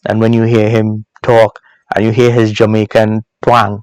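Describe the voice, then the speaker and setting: A man hosting a teaching series, speaking in a reflective, sermon-like but informal way, deliberate and emphatic, with repeated phrases and rhetorical questions. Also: on the low side, completely flat delivery, clean tone, no room sound, rough recording. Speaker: host of a Bible proverbs teaching series.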